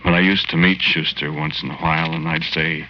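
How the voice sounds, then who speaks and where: A man's voice: rumbling low, steady tone, brisk. A man acting in a 1940s radio drama as a good-hearted, sentimental ghost, telling his story in folksy, ungrammatical slang. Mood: wistful, plainspoken. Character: good-hearted lovelorn working-class man's ghost, sentimental and naive. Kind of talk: 1940s radio acting, first-person narration. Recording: lo-fi 1940s radio recording, loud music.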